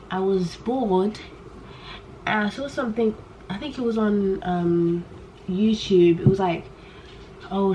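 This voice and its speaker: Loud rambling young woman. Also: medium pitch. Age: young adult. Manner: rambling and candid, trails off and restarts, loud on purpose. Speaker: vlogger. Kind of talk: casual chat to camera. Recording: poor audio with faint background noise.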